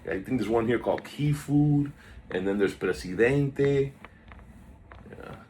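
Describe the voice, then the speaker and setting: A man's voice: medium pitch, lively intonation, unhurried, mellow, slight background noise. A man hosting a casual stock market video, chatty and drifting off topic while reading out stock moves, recorded on a phone. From South Florida. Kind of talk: casual rambling market commentary.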